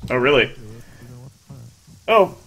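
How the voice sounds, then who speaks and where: A man's voice: mid-range voice, expressive, takes it slowly, fairly bright tone, a little hiss or hum, rough recording. Joking male gaming streamer on headset. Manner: joking, teasing banter with friends. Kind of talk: casual gaming stream chat. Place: small indoor room at a desk.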